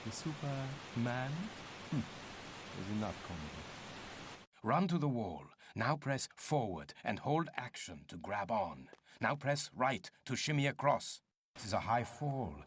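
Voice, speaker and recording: An actor playing a stern, condescending older archaeologist mentor in a video game, speaking formally and instructively. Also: male voice, medium pitch, very animated, unhurried. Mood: dry, instructive. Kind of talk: video game cutscene and tutorial acting. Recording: long cavernous reverb.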